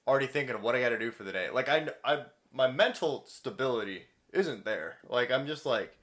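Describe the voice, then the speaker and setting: A man in a casual podcast chat, talking in an excitable, rambling way with some swearing. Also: mid-range voice, brisk. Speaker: podcast co-host.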